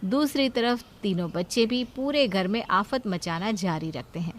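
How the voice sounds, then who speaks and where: Female narrator for cartoon tales, average pitch, scripted delivery. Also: unhurried.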